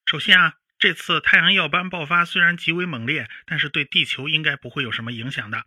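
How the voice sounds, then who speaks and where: A man playing an animated professor of spaceflight, explaining emphatically like a TV expert in a radio drama. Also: on the high side, fast, breathy edge, no room sound, clean studio sound, heavily acted. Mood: explanatory, emphatic. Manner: didactic, emphatic, with homely particles. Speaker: spacecraft chief designer, expert guest.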